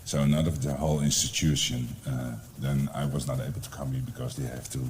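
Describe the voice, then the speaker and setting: A man's voice: a bass voice, little pitch movement, fairly quick, a little mellow, a little hiss or hum. A middle-aged man with a Dutch accent giving a conference presentation, hesitant with many fillers and restarts. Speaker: library department head. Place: conference room.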